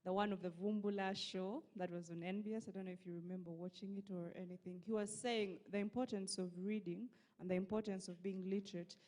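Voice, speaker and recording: A woman's voice: medium pitch, steady tone, brisk, hardly any pauses, darkish tone. Woman hosting live interviews. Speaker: interviewer and event host.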